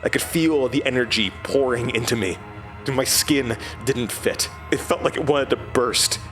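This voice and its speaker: A man's voice: medium pitch, normal speed, fairly bright tone, soft background music, lightly acted. An actor playing a troubled, haunted man, the uneasy lead and narrator of a horror audio drama. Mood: tense, haunted.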